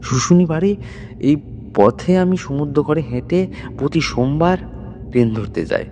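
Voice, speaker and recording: A man voicing a frightened young village man in his twenties, halting and fearful, in a horror story. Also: fairly high pitch, lively intonation, warm tone, long reverb tail, slight background noise.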